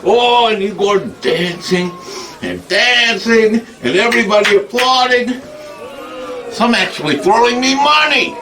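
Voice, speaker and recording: A man's voice: a little high, sing-song, slow pace, long pauses, recorded from a distance, background noise. A man performing a comic character named Igor in put-on broken English, rambling and laughing a lot. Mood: boastful. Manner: broken grammar, frequent laughing. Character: foreign male hunchback-type comic Igor, boastful. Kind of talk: comic monologue.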